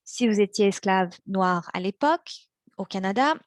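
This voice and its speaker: A young woman born in China and raised in Montreal, giving a prepared practice speech in a measured way, slowing on key words, with small restarts. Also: mid-range voice, no echo, clean studio sound. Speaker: practice speaker for interpreters.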